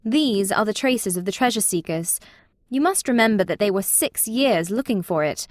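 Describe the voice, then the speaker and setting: A level-headed male detective states facts in a crisp, firm voice of middle pitch, read by a woman narrator. The sound is dry and close. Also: expressive, moderate pace, no room sound, natural voice. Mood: brisk.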